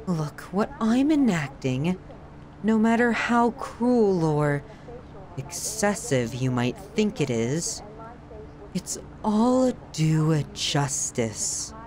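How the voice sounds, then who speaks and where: Sadistic yandere lover, played by a woman for horror ASMR: obsessive, menacingly affectionate, very lively intonation, unhurried. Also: on the low side. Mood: scornful, self-justifying. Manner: theatrical, swings from sweet to scornful, sighing. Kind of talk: ASMR horror roleplay monologue.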